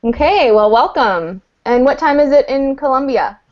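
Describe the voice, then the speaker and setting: A woman teaching English on a video call, her voice pitched a little high and delivered patiently, clearly and encouragingly. The audio is dull and lo-fi. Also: lively intonation, normal speed. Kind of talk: online group class.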